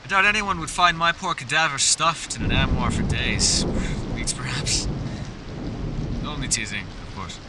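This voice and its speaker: A man's voice: medium pitch, measured pace, heavy background noise, close to an everyday voice. A man voicing a mysterious, playful elf scholar in a fantasy ASMR roleplay, speaking in genteel, theatrical, formal phrases. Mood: teasing. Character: mysterious, courteous, playful male elf scholar living alone. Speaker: voice actor. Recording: rain, thunder and storm ambience behind. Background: rain and thunder sound effects.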